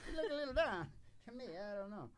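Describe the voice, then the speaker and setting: A woman's voice: mid-range voice, big pitch swings, fast, a crowd in the background. A woman chatting in a casual, folksy way as host of a country music radio show.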